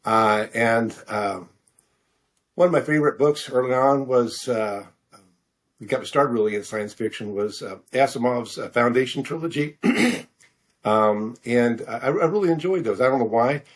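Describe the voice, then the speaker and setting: Male interview guest, sixties, rough-edged husky voice at medium pitch, hesitant and meandering conversational delivery. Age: 60s. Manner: halting, rambling, hesitant with repeated words. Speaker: podcast guest, science fiction author. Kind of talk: casual interview talk.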